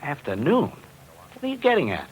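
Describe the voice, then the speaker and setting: A man's voice: fairly high pitch, huge pitch swings, a little fast, dull audio. An American man acting a worried, plain-spoken bookmaker in a 1940s radio drama. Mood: puzzled. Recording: vintage radio recording, faint noise.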